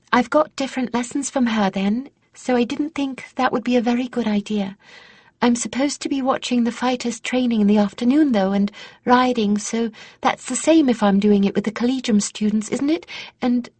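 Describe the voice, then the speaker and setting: A woman's voice: fairly high pitch, a little mellow, slightly rough, no room sound, voice pushed up. A woman voicing a polite, earnest young royal girl in a fantasy audiobook, well-mannered and pleading.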